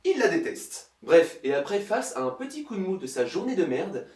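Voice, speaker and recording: A man's voice: sits high, sing-song, normal speed, a little mellow. A man narrating a sarcastic parody film review in a mocking, theatrical manner. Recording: roomy, distant mic, faint noise.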